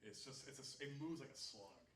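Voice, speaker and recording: A man from Phoenix holds forth on a seminar panel, animated and rambling, often restarting his sentences. His voice carries from a distance across an echoey room. Faint noise hums underneath. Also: mid-range voice, fairly quick. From Phoenix, USA. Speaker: seminar speaker. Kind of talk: live seminar panel talk.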